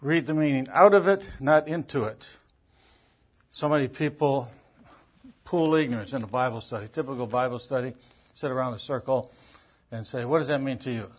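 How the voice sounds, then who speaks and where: Man, Bible teacher, husky voice, medium pitch, emphatic lecturing, repetition, rhetorical questions. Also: normal speed, long pauses. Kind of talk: teaching lecture on biblical interpretation.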